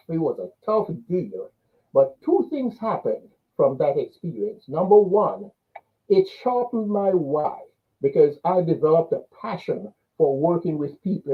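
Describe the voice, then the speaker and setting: Older Jamaican man, higher voice, muffled phone-like sound. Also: animated, measured pace. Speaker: interview guest, business coach. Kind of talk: personal storytelling in an interview.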